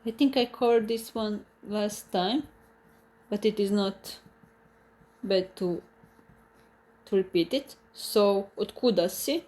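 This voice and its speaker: A woman with a Croatian accent teaches a live online language lesson. She talks at an unhurried, deliberate pace, in a voice of middling pitch. She works through each point carefully, restarting phrases and repeating examples. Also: warm tone.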